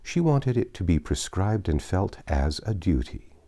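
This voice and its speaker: Deep, mellow male audiobook narrator. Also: very animated, measured pace. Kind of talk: scripted narration of a classic novel.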